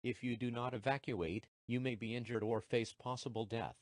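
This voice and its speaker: A man voicing an official emergency alert announcer, formal and measured, reading a scripted broadcast message. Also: medium pitch, steady tone, no echo, clean studio sound, close to an everyday voice. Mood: formal, impersonal.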